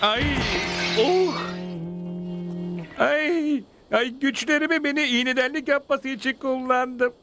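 Very high-voiced pompous cartoon outlaw. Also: male voice, slow, music in the background, exaggerated voice. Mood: pained. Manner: grandiose, commanding. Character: pompous, deluded outlaw who claims supernatural powers. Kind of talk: Turkish cartoon dub acting.

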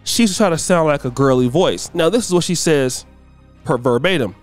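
A middle-aged man hosting a commentary channel, giving a blunt, preachy opinion monologue that climbs in pitch when he gets worked up. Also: animated, brisk, soft background music.

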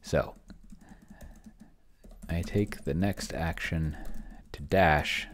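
A man's voice: extremely low pitch, extremely slow. A middle-aged man thinking aloud in casual commentary as he plays a solo game live, muttering to himself while typing at his computer. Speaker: solo roleplaying player and show host. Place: indoor room at a computer. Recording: keyboard typing and clicking noise.

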